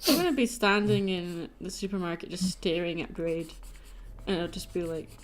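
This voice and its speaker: A woman's voice: on the low side, normal speed, rough recording. A woman hosting a casual livestream chat, answering silly questions in a playfully argumentative way. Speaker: livestream host. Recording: music behind the voice. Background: music.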